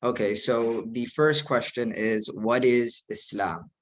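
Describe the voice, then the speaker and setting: A young man, an imam raised in the United States, giving an earnest, measured presentation to an online group. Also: mid-range voice. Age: young adult.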